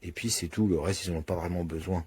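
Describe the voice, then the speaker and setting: A man's voice: low voice, fairly even intonation, fast, darkish tone, slightly rough. A middle-aged man giving a casual, unscripted hands-on product review, thinking aloud in a rambling, colloquial and hesitant way.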